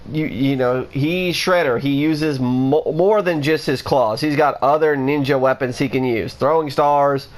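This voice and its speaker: A man with a somewhat high voice talks casually for his own channel. He rambles and restarts his sentences, leaning on fillers as he thinks out loud. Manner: rambling, many restarts and "you know" fillers. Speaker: gaming vlogger. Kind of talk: casual rambling opinion talk.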